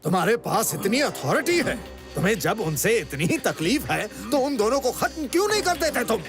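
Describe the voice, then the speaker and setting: Scheming cartoon villain, theatrical, menacing, extremely animated pitch, music underneath. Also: male voice, moderate pace. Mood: goading. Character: scheming cartoon villain posing as special-duty officer. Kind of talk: Hindi cartoon dubbing.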